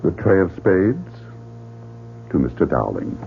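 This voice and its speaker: Through a thin, humming vintage radio recording comes a sinister club director, male and coldly in command. He speaks low and very slowly, with dramatic swoops, relishing the suspense like a classic radio mystery villain. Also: huge pitch swings, gravelly, phone-quality sound, faint music behind, heavily acted. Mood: savouring suspense. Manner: slow, deliberate, theatrical. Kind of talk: old-time radio mystery acting.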